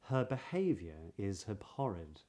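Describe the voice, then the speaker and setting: A man with a southern British English accent giving a pronunciation lesson as a voice coach, explaining clearly and modelling sounds. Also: fairly low pitch, very animated, measured pace, warm tone. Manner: clear, demonstrative, breathy example sounds.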